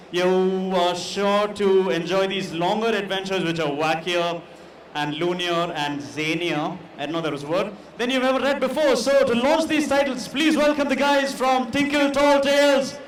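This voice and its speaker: A man's voice: high-pitched, moderate pace. Male announcer in an echoey venue. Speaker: event announcer. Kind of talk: stage announcement rousing a live audience. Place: comic convention event. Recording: echoey venue, distant, faint noise.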